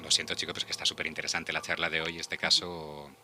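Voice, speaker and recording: A man's voice: rumbling low, little pitch movement, fast talker, pushed and bright, a little hiss or hum. A man with an accent from Spain hosting a casual radio discussion in a studio. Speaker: radio show host. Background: music.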